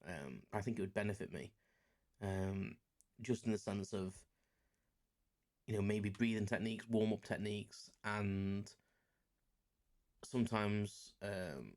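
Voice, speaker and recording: A man's voice: fairly low pitch, measured pace, pauses often, a little mellow. A man around forty, chatting casually on his solo podcast, rambling and self-deprecating with many fillers. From England. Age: about 40. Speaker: podcast host.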